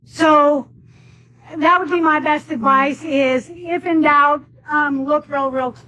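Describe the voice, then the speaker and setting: A woman's voice: sits high, fairly even intonation, slow pace, pushed and bright, low-quality recording. A woman speaking at a public meeting, earnestly advising the audience.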